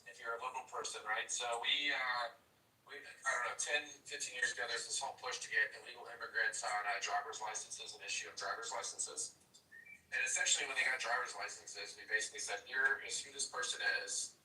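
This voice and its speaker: Fast, insistent man in echoey room. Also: medium pitch, steady tone, sharp tone. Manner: insistent, blunt, swears. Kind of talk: heated group discussion. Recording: echoey room, far from mic, faint noise.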